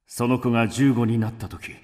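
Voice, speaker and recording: A man's voice: mid-range voice, fairly even intonation, normal speed, a little mellow, dry recording, studio quality, lightly acted. An actor playing a grim, resolute man of ancient China in a radio drama, stern and commanding. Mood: solemn. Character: grim, resolute man: doomed swordsmith and mysterious avenger. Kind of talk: theatrical radio drama acting. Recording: music behind the voice.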